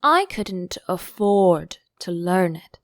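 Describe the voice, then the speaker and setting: A woman voicing a melancholy, touchy old male turtle creature in a storybook, with a drawn-out, sighing delivery. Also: lowish voice, expressive, no room sound, studio quality. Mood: sighing, wistful. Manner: slow, drawn-out, mournful delivery. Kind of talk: audiobook character voice.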